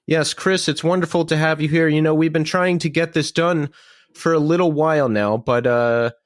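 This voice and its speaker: A man's voice: on the high side, steady tone, moderate pace, no echo, clean studio sound. A man hosting a podcast, reading an ad and then interviewing a guest in an upbeat, chatty way. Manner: upbeat, chatty, laughs easily. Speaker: podcast host.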